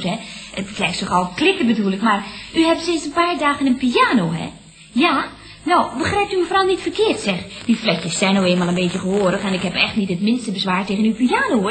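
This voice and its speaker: An actress playing a chatty, mock-polite secretary with a Netherlands Dutch accent in a radio comedy from around 1970. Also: female voice, sits high, fairly bright tone, a little hiss or hum. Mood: polite, hesitant.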